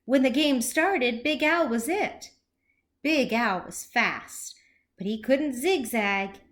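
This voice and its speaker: A woman with a high voice reads a picture book to young children. Her delivery is very slow and deliberate, and her melody swings widely as she tells the story expressively. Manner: animated, slow, deliberate, with questions to young listeners.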